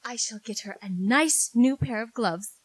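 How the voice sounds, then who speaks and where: A woman's voice: a little high, measured pace, dry recording, low-quality recording. A woman playing a sensible, ladylike young governess and eldest sister in a 1940s-style radio drama. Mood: earnest.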